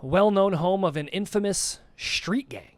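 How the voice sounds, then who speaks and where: A man's voice: high-pitched, measured pace. A man giving casual, slangy, mocking commentary as he reacts to a horror series, often repeating phrases for emphasis. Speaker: reaction video host.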